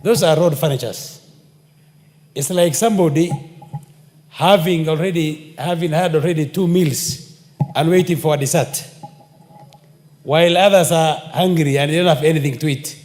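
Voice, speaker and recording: A man with a Kenyan accent, giving a measured parliamentary speech with fillers. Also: fairly high pitch, a little mellow. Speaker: member of parliament. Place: parliament chamber. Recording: long hall reverb of a chamber.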